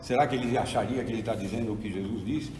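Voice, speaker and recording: A man's voice: fairly low pitch, quick pace, distant mic, background noise. Man in his sixties lecturing in an echoey hall. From Argentina. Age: 60s. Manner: animated, preacherly storytelling. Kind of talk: theology lecture to an audience.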